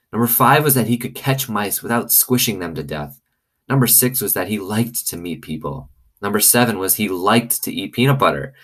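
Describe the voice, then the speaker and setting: A man with a low voice reads a children's novel aloud in a storytelling style. He works as a teacher would, adding simple commentary. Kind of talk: expressive storybook reading with commentary.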